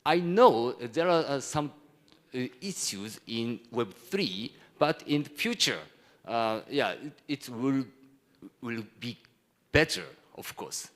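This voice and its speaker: A Japanese man presents at a conference in a medium-pitched voice. His accent is Japanese, and his English is halting, with phrases broken and rebuilt as he explains his points. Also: lively intonation, a bit bright. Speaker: conference speaker, hedge fund executive.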